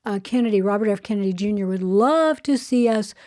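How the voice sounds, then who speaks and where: An older woman with a soft voice hosting a casual spoken segment, chatting with frequent fillers and restarts. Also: medium pitch, brisk. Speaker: show host. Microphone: earbuds headset.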